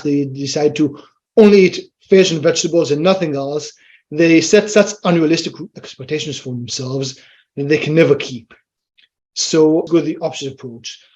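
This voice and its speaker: An Israeli-accented man speaks as a guest on an interview show, relaxed and conversational. He explains his points plainly and often quotes his own thoughts. His voice sits a little high for a man, and the audio sounds somewhat dull and lo-fi. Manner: easygoing, explains plainly, quotes himself with "I'm like". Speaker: fitness trainer guest. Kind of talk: casual interview chat. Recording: slightly muffled lo-fi audio.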